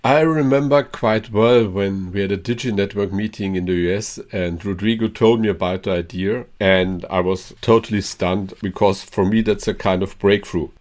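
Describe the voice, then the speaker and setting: A male interviewer in a company interview, upbeat and quick to praise his guests. His voice sits a little low. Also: steady tone, a little mellow. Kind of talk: corporate interview.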